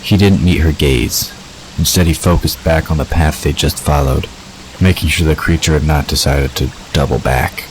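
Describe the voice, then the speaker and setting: A man with an extremely deep voice narrates scripted fantasy fiction. He reads as the storyteller of an audio drama, with faint nature ambience and running water behind him. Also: moderate pace, a little hiss or hum, poor audio.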